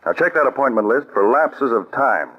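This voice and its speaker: An actor playing a calm, methodical male counterspy chief who gives crisp orders, in 1940s radio acting. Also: medium pitch, normal speed, natural voice. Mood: steady, commanding. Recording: muffled old radio recording.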